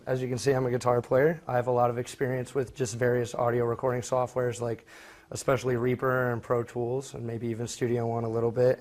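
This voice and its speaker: A man's voice: medium pitch, a little mellow. A young man giving an informal student project presentation, speaking a little hesitantly and correcting himself as he goes. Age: young adult.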